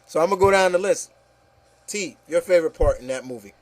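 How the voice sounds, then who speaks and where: A man's voice: on the high side, quick pace, no echo, rough recording. A man hosting a casual panel chat about movies, talking in a rambling, enthusiastic way and often saying "you know". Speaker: host of an opinion show.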